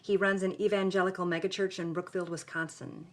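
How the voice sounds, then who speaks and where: A woman's voice: fairly low pitch, little pitch movement, a little fast, natural voice. An actress playing a frank young film student, wry and outspoken, telling her story conversationally in an interview. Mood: wry, candid. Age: young adult.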